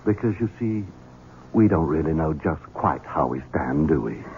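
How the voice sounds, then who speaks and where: A man's voice: extremely low pitch, animated, normal speed, a little hiss or hum. An actor playing a scheming, resentful son in his thirties who speaks in a cold, mocking, menacing way. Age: 30s. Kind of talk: 1970s radio drama acting. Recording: tinny old radio broadcast with music.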